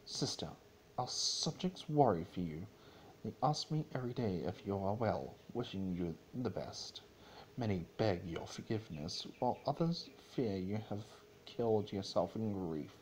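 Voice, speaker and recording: A man's voice: on the low side, expressive, a little slow, darkish tone, a little hiss or hum, low-quality recording. A man voicing a concerned, firm younger pony princess, earnest and exasperated, in a dramatic story reading.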